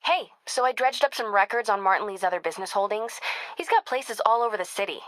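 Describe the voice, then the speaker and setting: An actress with an American accent playing a brisk, teasing young newspaper reporter in a video game. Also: female voice, medium pitch, a bit bright, dry recording, close to an everyday voice. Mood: earnest, focused. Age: young adult. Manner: casual, quick-witted phone chatter.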